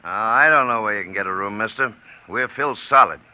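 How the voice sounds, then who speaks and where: An actor playing a harried, brusque American hotel clerk in a 1940s radio vignette. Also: male voice, on the low side, fairly quick, over loud music, natural voice. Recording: dull vintage radio recording.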